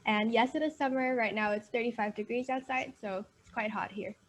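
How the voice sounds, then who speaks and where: A woman's voice: a little high, a little fast, slight background noise. A young woman with a Texan-tinged American accent, a university student speaking as a guest on a virtual panel, upbeat and chatty. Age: young adult. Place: at home in summer heat.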